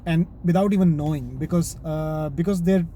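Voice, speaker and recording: A man in his thirties from Pakistan, with a Pakistani English accent, talking casually about project management from inside his car, halting often and restarting his sentences. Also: on the high side, dark tone. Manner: halting, with many restarts and pauses. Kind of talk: casual talk to camera. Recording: car and traffic noise.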